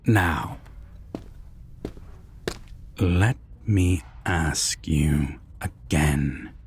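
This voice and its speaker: A man playing a menacing, condescending vampire captor in a roleplay audio drama, talking in a mocking, deliberate way with husky, breathy whispers. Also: extremely low pitch, slight background noise, full character voice. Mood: menacing, accusing. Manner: slow, deliberate, mocking, formal phrasing.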